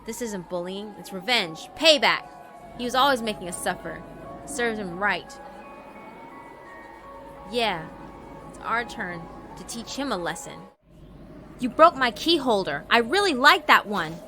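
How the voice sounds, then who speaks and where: A woman voicing furious teenage classmates who shout spitefully for revenge. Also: medium pitch, animated, unhurried, pauses often, music in the background.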